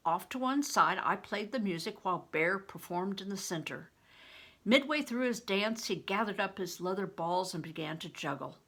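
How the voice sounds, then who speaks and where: An older woman, a grandmother reading a storybook aloud in a deliberate, expressive way. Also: medium pitch, husky. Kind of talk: storybook narration.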